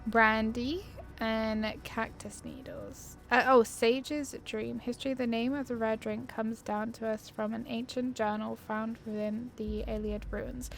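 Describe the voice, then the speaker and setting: A woman's voice: on the high side, gravelly. A woman hosting a gaming video with casual commentary, chatty and giggly, musing to herself as she plays. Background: game music.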